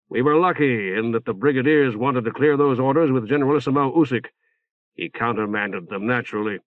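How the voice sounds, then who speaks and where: A man's voice: medium pitch, no room sound, phone-quality sound, close to an everyday voice. A man reading an audiobook, playing a tense and earnest male army colonel.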